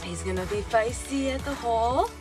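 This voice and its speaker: A young woman fishing inside an ice fishing tent, picked up by a camera across the tent, talking in an excited, giggly way. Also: a little high, moderate pace, music in the background.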